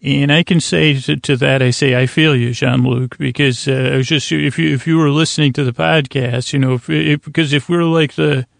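A male podcast host delivers a meandering bedtime monologue in a creaky, fry-heavy voice. He speaks haltingly, stammers over words and lets thoughts trail off. The sound is dry, with no room around it, and his pitch sits in an average male range. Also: steady tone, no echo.

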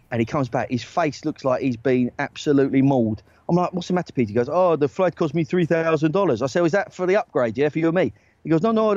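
A man's voice: medium pitch, no room sound. Guy, agent, spinning yarns, keeps going "right?", dry dull audio. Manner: animated, lots of "right?" tag questions, bursts of laughter. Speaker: talent agent, podcast guest. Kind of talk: casual storytelling chat.

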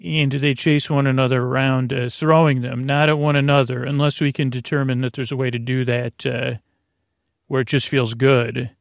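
A man hosting a bedtime podcast in a meandering, halting monologue, often trailing off mid-thought, with a creaky, gravelly voice. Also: medium pitch, little pitch movement, no echo. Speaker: podcast host. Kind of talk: rambling improvised bedtime monologue.

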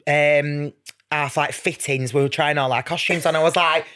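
A podcast guest in casual, gossipy chat, telling stories in an animated way and acting out what people said. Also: mid-range voice, moderate pace, slight background noise. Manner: animated storytelling, acting out quotes. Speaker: pantomime performer.

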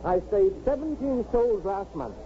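An actor playing a pompous, pushy crank preacher in a 1950s radio drama. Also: male voice, high-pitched, big pitch swings, unhurried. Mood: indignant, pompous. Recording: noisy, muffled old radio broadcast.

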